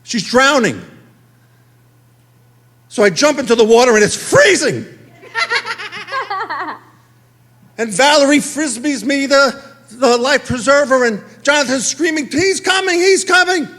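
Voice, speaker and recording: Middle-aged New man, stand-up comic, high and very animated delivery. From Queens, New York. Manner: animated, acts out dialogue in quick exchanges. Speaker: stand-up comedian. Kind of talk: stand-up storytelling. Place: theater stage.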